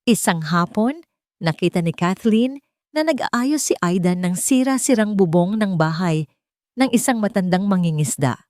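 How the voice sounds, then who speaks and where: A woman narrating a romance drama story in an expressive, dramatic way. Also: medium pitch, normal speed, no room sound, clean studio sound.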